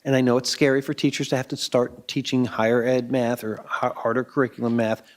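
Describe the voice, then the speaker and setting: Man urging higher standards emphatically. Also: flat delivery, a little fast. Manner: emphatic, exhorting. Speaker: board member. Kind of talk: board meeting remarks. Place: board meeting room.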